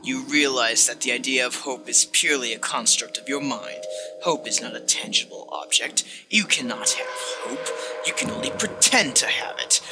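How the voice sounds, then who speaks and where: A man voicing a sinister, contemptuous disembodied game narrator, delivered in a condescending, theatrical and deliberate way. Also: mid-range voice, animated, music in the background, natural voice. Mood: ominous insistence. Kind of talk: dramatic reading of a text-based game.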